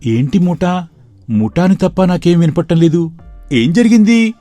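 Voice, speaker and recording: A woman's voice: fairly low pitch, very animated, moderate pace, mellow, dry recording, soft background music, pitched below the performer's own voice. A woman voicing a deaf young village son asking bewildered questions. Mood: confused.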